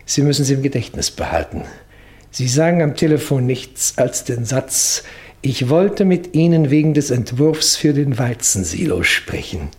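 An actor playing a grave, cautious state secretary with formal, precise diction, in 1960s German radio play style. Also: male voice, medium pitch, a little mellow, lightly acted. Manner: formal, precise, urgent, hesitant at times.

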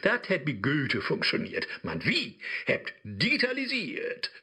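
An actor playing a sly, mocking digital Mephisto in a rhyming radio play, theatrical and taunting, with a Low German accent. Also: male voice, huge pitch swings, a little slow, sharp tone, husky, exaggerated voice. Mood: scornful. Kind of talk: satirical radio play in verse.

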